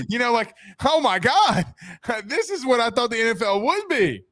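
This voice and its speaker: A man hosting a sports podcast, interviewing in a casual, animated and very informal way. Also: extremely high pitch, a little slow, no room sound, studio quality. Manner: animated, informal, peppers talk with "you know, like".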